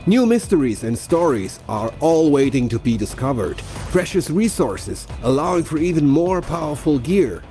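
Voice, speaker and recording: A man's voice: a little high, big pitch swings, moderate pace, hardly any pauses, mellow. A man presenting a game in a scripted promotional explainer, chatty and playful as he addresses viewers directly, with music behind him.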